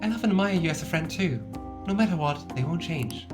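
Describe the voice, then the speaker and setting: A woman's voice: deep voice, animated, a little fast. A woman voicing a shy, earnest teenage girl from a video game, speaking politely and hesitantly. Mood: hopeful, polite. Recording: music playing behind the voice.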